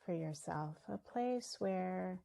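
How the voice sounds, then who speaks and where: A woman leading a guided meditation, speaking gently and leaving long pauses between her phrases. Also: lowish voice, gravelly. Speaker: meditation teacher.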